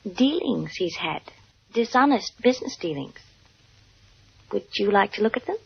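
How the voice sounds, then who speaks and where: A woman playing an alluring, devoted, scheming mistress with a breathy voice, in a 1950s radio drama. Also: medium pitch, expressive, normal speed, no echo, exaggerated voice. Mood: coolly scheming. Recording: dull old radio recording.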